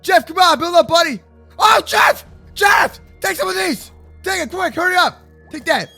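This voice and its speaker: A young man with a very high, bright voice hosts a gaming roleplay. His pitch swings widely as he exclaims and repeats words in slangy, excitable bursts. Faint music plays behind him. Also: moderate pace, vocal fry. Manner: slangy, addresses partner as bro and man. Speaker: gaming video host and roleplayer. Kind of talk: improvised Minecraft roleplay commentary for kids.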